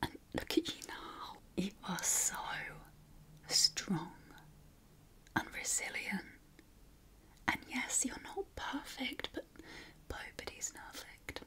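A woman's voice: mid-range voice, very animated, slow pace, long pauses, sharp tone. Whispering British woman, encouraging and affectionate. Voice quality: breathy, unvoiced whisper. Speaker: ASMR host. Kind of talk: whispered ASMR affirmations.

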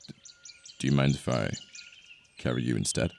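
A man acting a possessive yet protective mafia boss in an ASMR romance roleplay, with a British accent, a deep gravelly voice and an intimate manner full of sighs and pauses. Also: darkish tone, slight background noise, full character voice. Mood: concerned, coaxing. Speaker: voice actor. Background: birdsong, outdoor ambience effects.

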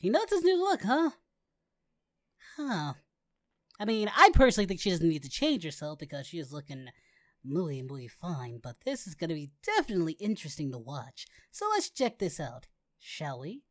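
A performer voicing an excitable, boyish cartoon anime hero who comments in exclamations and flustered repeats. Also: medium pitch, normal speed, dry recording, clean studio sound. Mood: upbeat. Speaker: host reacting to animations. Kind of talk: reaction video commentary in character.

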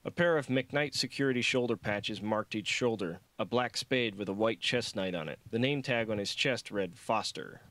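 A man's voice: on the low side, moderate pace, hardly any pauses, a bit bright, dry recording. A man reading his own novel aloud as scripted audiobook narration.

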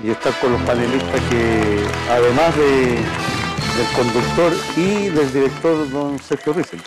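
Middle aged guy on a webcam, polite, kinda halting, music and crowd noise behind. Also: mid-range voice. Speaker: guest, movement spokesman. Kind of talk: formal greeting on a talk show. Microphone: video call webcam.

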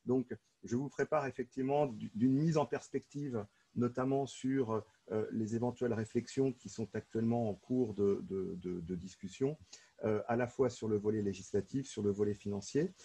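Male consultant, French accent from France, mellow and husky, formal and hesitant webinar delivery. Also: lowish voice. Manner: halting, measured, with drawn-out pauses. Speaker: consultant giving a presentation to local elected officials. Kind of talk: formal online lecture. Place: small room, video call.

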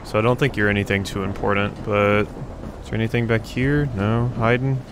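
A man's voice: lowish voice, steady tone, a little fast, background noise. A man giving casual let's play commentary, thinking aloud as he goes. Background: game ambience.

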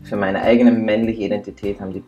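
A man in his thirties with a very low, deep voice speaks calmly and matter-of-factly, seated on a studio set. He is reflective and unhurried, and faint music plays underneath. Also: lo-fi sound. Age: 30s. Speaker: interviewee. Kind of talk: personal testimony to camera.

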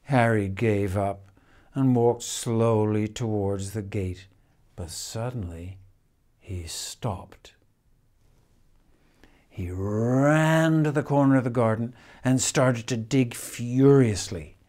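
An older man reads a children's picture book expressively, with measured phrasing and dramatic pauses. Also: mid-range voice, a little mellow. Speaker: storyteller reading a picture book. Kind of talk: expressive children's storybook reading. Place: indoors, room in a house with stone wall.

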